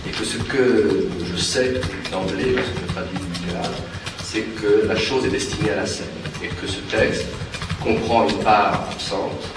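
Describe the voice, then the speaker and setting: Hesitant low-voiced man in an echoey room. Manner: hesitant, many fillers and restarts, thoughtful. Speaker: theatre translator. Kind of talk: reflective spoken talk. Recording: very echoey room, from far off, with music behind.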